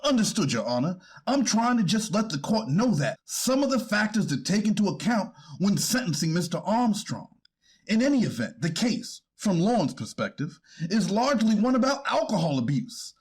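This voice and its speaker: A defense attorney played by a man pleads for his client in a staged courtroom reading. His voice is high and husky, with lively swings in pitch. He stays formal and deferential to the bench, turning hesitant when challenged. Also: normal speed, full character voice. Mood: earnest, pleading. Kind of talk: readers theater courtroom transcript reading.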